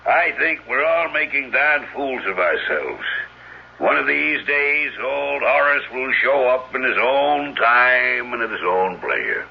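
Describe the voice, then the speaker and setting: A folksy retired small-town man, skeptical and sure of himself. His voice is husky and mid-pitched, on a muffled old radio drama recording. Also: lively intonation, a little slow, exaggerated voice. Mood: dismissive. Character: folksy retired townsman, unhurried, skeptical, self-assured.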